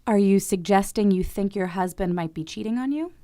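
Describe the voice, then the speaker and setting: A woman playing a composed, clinical therapist in a radio play, measured and authoritative. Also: medium pitch, dark tone, lightly acted. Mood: professional, probing. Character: composed, clinical female therapist with hypnotic methods.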